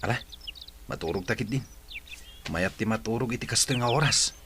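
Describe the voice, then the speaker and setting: A man's voice: lowish voice, a little fast, natural voice. A man playing a stubborn, short-tempered young boyfriend in an Ilocano audio drama, speaking bluntly and insistently. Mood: content, directing. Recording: faint noise, clicks and birdsong.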